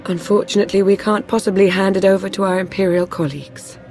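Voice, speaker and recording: A woman playing a composed Sith intelligence adviser in a video game, grave, formal and measured. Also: mid-range voice, steady tone, darkish tone, soft background music, close to an everyday voice. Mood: grave resolve. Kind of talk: dramatic video game acting.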